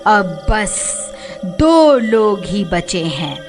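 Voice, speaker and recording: A woman voicing a vengeful bald witch in a horror cartoon, threatening and cackling with drawn-out words. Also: mid-range voice, very animated. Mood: gloating, vengeful. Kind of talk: Hindi horror cartoon voice acting. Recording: music behind the voice.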